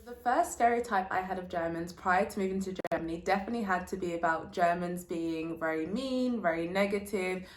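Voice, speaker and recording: Chatty young woman vlogger in an echoey room. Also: lowish voice. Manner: chatty, informal, uses slang. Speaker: vlogger, channel host. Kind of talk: casual sit-down vlog chat, telling anecdotes. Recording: roomy, echoey indoor sound.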